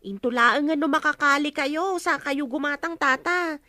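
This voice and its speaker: A woman's voice: sits high, normal speed, pushed and bright, no room sound, studio quality. A woman with an Ilocano accent playing a sassy young shopkeeper who refuses credit, in a Filipino comedy radio drama. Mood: sassy, refusing.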